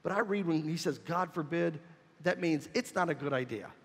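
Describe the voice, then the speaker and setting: Male pastor, high voice, emphatic sermon delivery, shouting at the peaks, long church reverb. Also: lively intonation, fast. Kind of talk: sermon with call-and-response. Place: church sanctuary.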